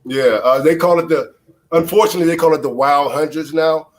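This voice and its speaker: A man's voice: a little high, a little fast. A middle-aged man from Chicago, a former baseball player guesting on a show, talking in a laid-back way with a stuffy, nasal voice from a flu. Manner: laid-back, casual, many fillers.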